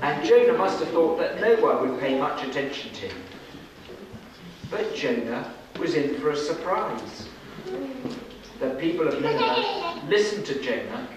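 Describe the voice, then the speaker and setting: Middle-aged male storyteller for kids, slow, dramatic delivery, heard from across a very echoey room. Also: a little high, huge pitch swings, crowd noise. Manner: dramatic, deliberate, with pauses. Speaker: storyteller at a children's puppet show. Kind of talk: scripted storytelling read aloud to children. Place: children's classroom-like room, indoors. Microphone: far camera. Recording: echoey room, distant camera mic, noisy.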